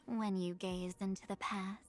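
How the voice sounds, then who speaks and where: An actress voicing an enigmatic, gentle young woman from a video game, speaking in a measured, poetic and faintly teasing way. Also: mid-range voice, flat delivery, close to an everyday voice. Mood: solemn, wistful. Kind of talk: video game character voicelines.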